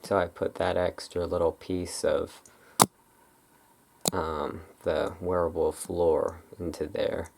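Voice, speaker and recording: An ASMR creator chatting casually and unscripted, in a halting, rambling way that often breaks off mid-thought. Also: low voice, little pitch movement, vocal fry, background noise. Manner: halting, rambling, self-interrupting.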